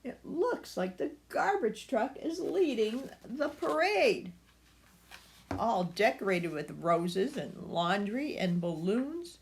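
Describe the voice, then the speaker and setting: An older woman reading a children's story aloud, with asides to her young listeners. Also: on the high side. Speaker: storybook reader.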